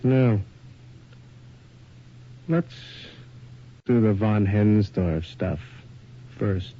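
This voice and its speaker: A man's voice: on the low side, very slow. Muffled recording of a cocky male photographer. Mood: casual. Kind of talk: 1980s radio drama acting. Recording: muffled, noisy old radio drama recording.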